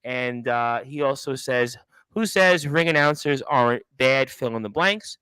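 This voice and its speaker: A man's voice: mid-range voice, unhurried, bright, forceful tone, clean tone, no room sound, poor audio. A man from Brooklyn, hosting a wrestling talk show in a chatty, rambling way over a video call. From Brooklyn, New York. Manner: chatty, rambling, repeats himself. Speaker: radio show host. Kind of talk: casual interview chat.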